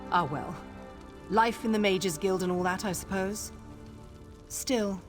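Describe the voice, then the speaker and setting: A vain, aloof guild mage from a fantasy game. Her voice sits a little low and moves expressively. She speaks in a measured, refined way, with music clearly behind her. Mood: wistful, complaining. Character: world-weary, vain mage woman of the guild. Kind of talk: video game character dialogue.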